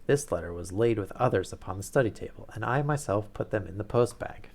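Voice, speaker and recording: A man's voice: on the low side, lively intonation, fairly quick, vocal fry, darkish tone. A man voicing a nervous, alert young male secretary who speaks in an incisive, positive tone. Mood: curt, defensive.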